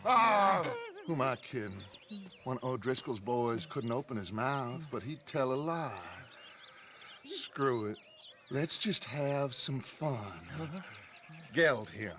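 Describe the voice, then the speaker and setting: An actor playing a theatrical, menacing American gang leader in a western game, mocking and grandly oratorical. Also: male voice, medium pitch, lively intonation, slow pace, fairly bright tone, slight background noise. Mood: mock weary. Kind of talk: cinematic western game acting.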